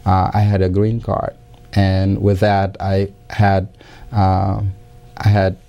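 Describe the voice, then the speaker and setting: A middle-aged man, not a native English speaker, giving careful and hesitant interview answers with many pauses and restarts. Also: sits low, monotone, warm tone. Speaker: interview guest.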